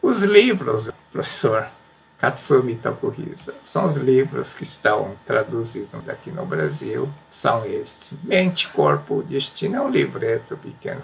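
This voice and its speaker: A Brazilian man earnestly presenting religious books, then reading passages aloud in a slow, reflective way. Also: medium pitch, vocal fry, a little hiss or hum. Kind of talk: casual spoken book commentary and reading aloud.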